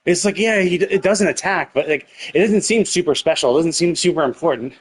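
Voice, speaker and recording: A man's voice: a little high, fairly quick, husky. A man giving casual, sweary live game commentary as a streamer, puzzling things out aloud. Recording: game music behind the voice.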